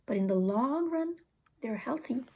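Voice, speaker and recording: A woman reading the part of a patient, reasonable father in a storybook, explaining things in a measured way. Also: on the high side, animated, a little mellow, breathy edge, heavily acted.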